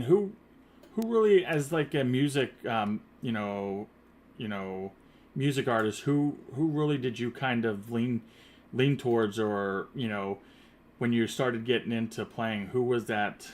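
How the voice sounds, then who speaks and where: Rambling male podcast host, low voice. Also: slight background noise. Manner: rambling, many fillers and restarts. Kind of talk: casual interview chat. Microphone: earpiece headset.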